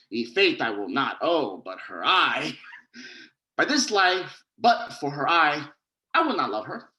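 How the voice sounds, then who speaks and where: Man acting bawdy young lover, Shakespearean stage clowning, wildly varied pitch, unhurried delivery, mock-tragic exclamations. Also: pushed and bright, full character voice. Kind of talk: Shakespearean staged reading, horror parody.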